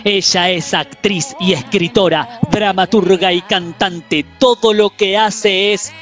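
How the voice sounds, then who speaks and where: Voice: medium pitch, fairly even intonation, slow, fairly bright tone, lo-fi sound, faint music behind. A host, giving an admiring introduction and asking casual interview questions.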